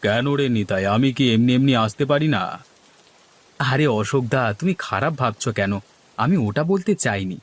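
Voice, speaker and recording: Male actor, cartoon villager, scheming or fretful, theatrical delivery, middle-pitched. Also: lively intonation, unhurried. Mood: assertive. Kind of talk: dramatised cartoon dialogue.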